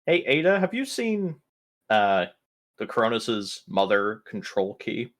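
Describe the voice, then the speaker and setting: Low, lively-pitched male roleplay gamer. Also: slow pace. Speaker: tabletop roleplaying game player. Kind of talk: casual in-character table talk.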